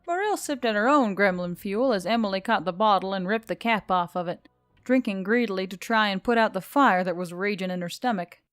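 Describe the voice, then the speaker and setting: A woman narrates fiction in an audiobook style. Her voice sits at a middle pitch, and the recording is very dry, with little room sound. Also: a little fast, fairly bright tone, smooth, dry recording.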